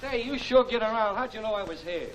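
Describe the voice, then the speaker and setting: An actor playing a brash, bossy small-time gangster full of slang, in a 1940s film serial. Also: male voice, high-pitched, expressive, fairly quick. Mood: brash, breezy. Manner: slangy, bossy tough-guy talk. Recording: very noisy, crackling old film soundtrack.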